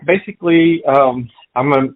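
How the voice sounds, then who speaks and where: A middle-aged man from Colombia, a business guest in a casual interview, speaking haltingly with many fillers and restarts. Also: mid-range voice. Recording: phone-like narrow sound.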